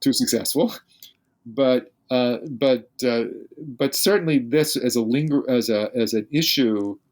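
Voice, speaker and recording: Older man, halting and restarting. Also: mid-range voice. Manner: halting, many restarts and repeated words. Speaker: physicist guest. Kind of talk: unscripted podcast interview.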